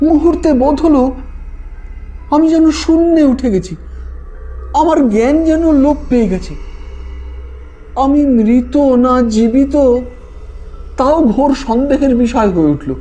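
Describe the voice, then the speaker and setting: A man's voice: very high-pitched, takes it slowly, pauses often, mellow. A man telling a scripted ghost story as a narrator, in a theatrical, suspenseful manner. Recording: music behind the voice. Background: background music.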